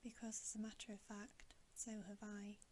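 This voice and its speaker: A woman's voice: mid-range voice, monotone, normal speed, a little mellow, a little hiss or hum, close to an everyday voice. A woman voicing anxious, tender young girls from a storybook in an earnest, worried whisper. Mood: uneasy.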